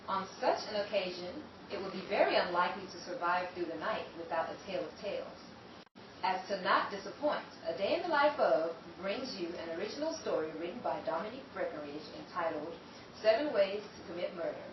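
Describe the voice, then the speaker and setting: A woman hosting and narrating a 1930s-style radio drama, slow and ominous. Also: on the low side, animated, recorded from a distance, noisy background. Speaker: host and narrator. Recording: very echoey, dull lo-fi sound from off mic.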